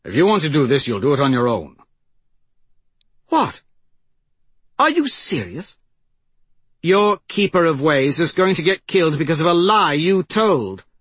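A man's voice: medium pitch, very animated, quick pace, few pauses, husky, dry recording. A man voicing a fierce, forthright woman in an audiobook, speaking urgently and emphatically. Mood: indignant. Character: fierce, indignant woman leading a rescue.

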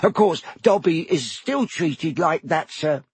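Squeaky, servile house elf. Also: male voice, lively intonation, slow, breathy edge, dry recording, heavily acted. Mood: fervent. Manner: servile, high-pitched pleading, many "sir"s. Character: timid anxious male house elf servant.

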